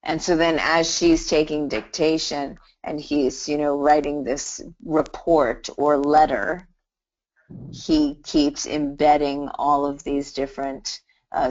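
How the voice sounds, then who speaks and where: Low-voiced woman, chatty hypnotherapy teacher. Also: a little mellow, dull audio. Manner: chatty storyteller, many restarts and "you know". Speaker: hypnotherapy instructor. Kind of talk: live online class Q&A.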